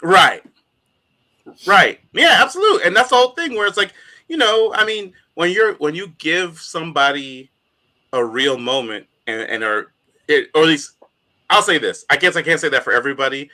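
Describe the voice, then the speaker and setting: Bright, animated man chatting about comics. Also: a little high, normal speed. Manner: animated, laughs often, many fillers. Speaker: podcast co-host. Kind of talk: casual chat.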